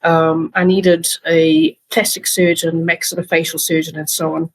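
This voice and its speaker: South african woman guest on a podcast, kinda husky lowish voice, earnest, lots of ums. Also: level tone, normal speed. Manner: earnest, many fillers and restarts. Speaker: guest, patient advocate. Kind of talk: casual interview talk.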